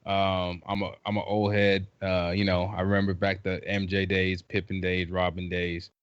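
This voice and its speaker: A middle-aged man with a low voice chats as a podcast guest, joking around and restarting his sentences. He comes through a dull, lo-fi remote line. The sound is dry. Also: moderate pace, clear, resonant tone, dry recording. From Trinidad, raised in South Carolina. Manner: jokey and animated, with many restarts. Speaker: podcast guest, basketball writer. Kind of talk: casual chat.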